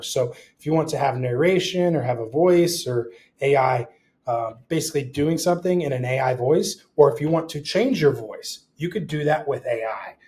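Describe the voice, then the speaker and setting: A man's voice: fairly high pitch, a little mellow. Man presenting a casual tutorial. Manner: explanatory, with short rhetorical questions. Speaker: instructor.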